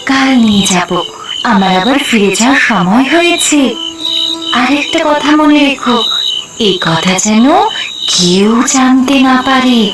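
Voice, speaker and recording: A woman voicing a gentle, secretive magical flying spirit in a cartoon, coaxing and mysterious. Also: on the high side, expressive, measured pace. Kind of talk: cartoon story voice acting. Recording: distant mic under loud music.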